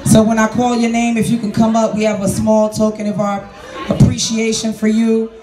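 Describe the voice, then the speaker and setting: A man's voice: high voice, monotone, moderate pace. A man hosting a party on a cruise ship, gratefully thanking supporters and calling out their names. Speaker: event host. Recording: echoey lounge with loud crowd and music.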